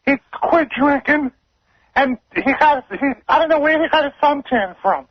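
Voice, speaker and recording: Very high-pitched man phoning a radio show. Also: lively intonation, normal speed, no room sound. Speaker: phone-in regular. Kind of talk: casual chat, gossiping. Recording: dull, dry phone line on radio.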